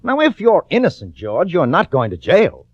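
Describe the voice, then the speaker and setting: Smooth, two-faced lawyer, animated. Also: male voice, a little high, normal speed, no room sound, exaggerated voice. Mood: reassuring. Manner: calm, reassuring, self-assured. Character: smooth, two-faced male lawyer posing as loyal friend. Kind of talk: 1940s radio acting with first-person narration. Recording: dull vintage radio with music.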